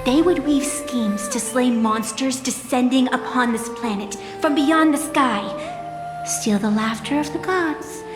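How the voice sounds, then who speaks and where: A poised, enigmatic young woman from a video game, high in voice and slow in delivery. She speaks formally, teasing with faintly haughty amusement, in a deep wash of reverb over music. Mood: intrigued, grandiose. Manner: teasing, theatrical, unhurried formal speech. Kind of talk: scripted video game character acting. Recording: echoey, long reverb, music behind.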